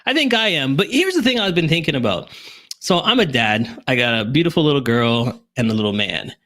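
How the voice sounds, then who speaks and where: A man hosting a talk show in an upbeat, casual, animated conversational way. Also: medium pitch, fairly quick. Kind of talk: upbeat casual interview chat.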